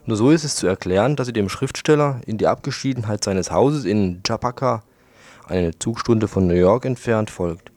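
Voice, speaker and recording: A man's voice: fairly low pitch, moderate pace, darkish tone, no room sound. A man reading a scripted book review on the radio in a wordy, literary manner.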